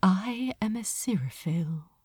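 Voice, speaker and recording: A gentle male angel from a storybook, acted out by a woman. He speaks softly and slowly, with wistful affection, and often slips into a hushed whisper. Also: medium pitch, lively intonation, breathy edge, dry recording, clean studio sound. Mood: tender, wistful. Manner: soft, often whispered, hesitant.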